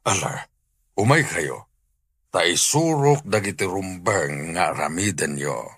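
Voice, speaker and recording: Deep, husky Ilocano master of the house. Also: male voice, expressive, slow pace, a bit bright, no echo, exaggerated voice. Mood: genial. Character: generous, welcoming wealthy householder hiring servants. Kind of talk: Ilocano radio drama acting.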